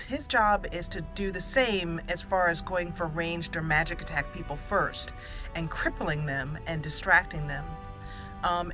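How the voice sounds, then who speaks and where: Woman, bright clear-cut tone, mid pitch, casual game commentary, chatty and explanatory, music behind. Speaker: gaming channel host.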